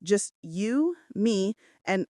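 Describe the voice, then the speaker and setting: A defiant and loyal female assistant, acted by a woman narrator in a romance audiobook. She is outspoken and stresses her words hard. Her mid-range pitch is very lively, in a dry recording. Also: very slow, no echo. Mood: alarmed. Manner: emphatic, outspoken. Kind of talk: dramatic romance audiobook dialogue.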